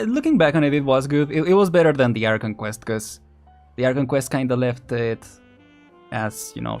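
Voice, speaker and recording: Hesitant, rambling male streamer over music. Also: medium pitch. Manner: hesitant, rambling, often repeats words and restarts. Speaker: streamer, vtuber host. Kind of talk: casual livestream commentary, chatting with viewers.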